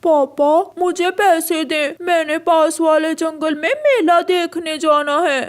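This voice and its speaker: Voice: very high-pitched, slow pace. An actor voicing an eager, mischievous young boy crow in a cartoon, chirpy and childlike. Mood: excited. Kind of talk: cartoon dialogue.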